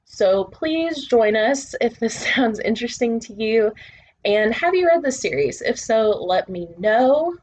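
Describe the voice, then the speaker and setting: A woman hosting a book channel, talking to viewers in a measured, deliberate, presenter-style way with short pauses between phrases. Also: on the high side, lively intonation, darkish tone. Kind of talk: presenter-style talk to camera.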